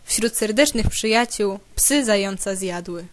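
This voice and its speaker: A woman's voice: mid-range voice, measured pace, no room sound. A woman narrator reading a verse fable as storytelling in an amateur recording. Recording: homemade recording over loud music.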